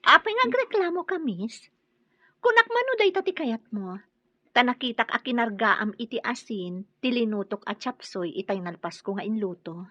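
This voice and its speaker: An actress playing a dutiful young Filipino daughter-in-law who stands up for herself in an Ilocano radio drama. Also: female voice, a little high, very animated, normal speed, sharp tone, no echo, lo-fi sound, heavily acted. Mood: indignant.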